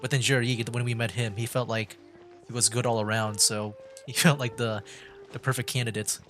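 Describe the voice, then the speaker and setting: A man livestreaming a game with casual commentary, thinking aloud in a loose, halting way. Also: mid-range voice, steady tone, a bit bright. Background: game music.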